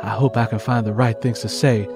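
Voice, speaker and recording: A man's voice: medium pitch, little pitch movement, brisk, music in the background, natural voice. A man voicing a distraught, fiercely protective mother in an audiobook drama, emotional and insistent. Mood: determined.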